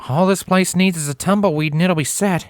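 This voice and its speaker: A woman's voice: on the low side, fairly quick, a little mellow, no echo, studio quality, lightly acted. A woman voicing a folksy country mare. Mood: wry. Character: folksy country cowgirl pony, plainspoken mare. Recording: music behind the voice.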